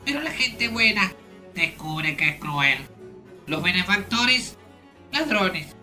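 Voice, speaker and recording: A woman's voice: mid-range voice, big pitch swings, takes it slowly. A woman playing a fussy, scolding guide to magic gates in a theatrical radio drama. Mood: scornful warning. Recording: studio radio play over loud music.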